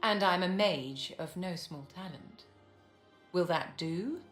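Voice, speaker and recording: An actress playing a poised, aristocratic mage in a video game, speaking in a measured, courtly and haughty manner. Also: female voice, lowish voice, fairly bright tone. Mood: poised, assured. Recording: reverberant hall with music underneath.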